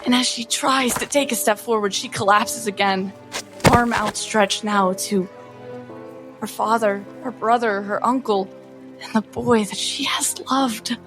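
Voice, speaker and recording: Female tabletop player, slow and earnest dramatic narrator, slightly high voice, music underneath. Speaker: player in a tabletop roleplaying game.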